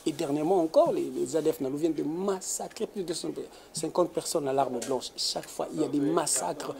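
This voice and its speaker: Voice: medium pitch, fairly quick, creaky, a crowd in the background. A guest from the Congo, giving emphatic, indignant interview answers with frequent hesitations. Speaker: political commentator guest.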